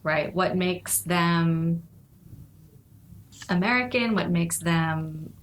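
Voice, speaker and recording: A woman's voice: lowish voice, extremely slow, a little hiss or hum. A woman in her forties from Nepal, a guest speaking thoughtfully in a casual interview, often laughing and saying "you know".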